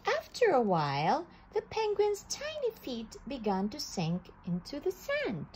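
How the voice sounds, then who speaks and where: A woman reads a children's picture book aloud at a table indoors. Her fairly high voice swings widely in pitch. She takes her time over every line, with a faint hiss behind her. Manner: slow, animated storytelling with occasional restarts. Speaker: storybook reader.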